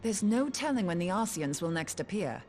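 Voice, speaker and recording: Lively, cheeky young woman inventor. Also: fairly low pitch, normal speed. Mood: cheeky, teasing. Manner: informal, breezy, colloquial British phrasing. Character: brisk, confident, cheeky young woman researcher in fantasy. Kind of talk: video game cutscene acting.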